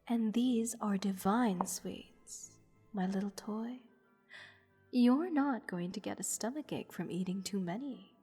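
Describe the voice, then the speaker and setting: A woman playing a haughty, playful goddess who teases a captive mortal in an unhurried, condescending manner, in a roleplay audio drama. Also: mid-range voice, mellow, breathy edge. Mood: taunting. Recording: long hall reverb. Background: music.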